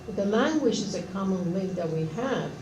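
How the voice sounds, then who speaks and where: A woman's voice: mid-range voice, expressive, brisk. A woman from Cuba, telling personal stories earnestly in a group discussion. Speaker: discussion participant. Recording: echoey room, far from mic, audible background noise.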